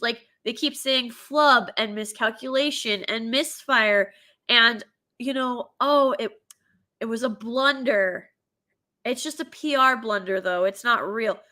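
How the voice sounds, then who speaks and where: A woman's voice: on the high side, a little slow. A woman hosting a commentary show, talking opinionatedly and stuffy with a cold, dropping into mocking impressions now and then. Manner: animated, mocking impressions in asides. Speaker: show host.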